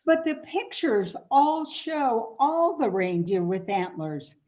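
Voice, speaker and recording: Lady doing an earnest curious kid boy for a radio play, slow and lively, full of questions. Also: fairly high pitch. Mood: puzzled, doubting. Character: curious, doubting young boy questioning a storyteller. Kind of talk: radio play reading by amateur readers.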